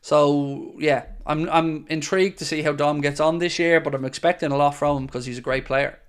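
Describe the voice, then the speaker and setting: A man's voice: a little high, steady tone, moderate pace, clean tone. Chatty upbeat man streaming. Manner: chatty and upbeat, reads questions aloud then answers. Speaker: host of a football fan channel. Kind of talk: live-stream chat answering viewer questions.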